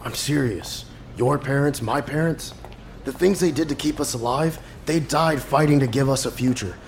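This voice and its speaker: An actor playing a weary, conflicted debt collector in an audio drama, terse and sardonic. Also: male voice, mid-range voice, normal speed, vocal fry, slight background noise, lightly acted. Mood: troubled, earnest.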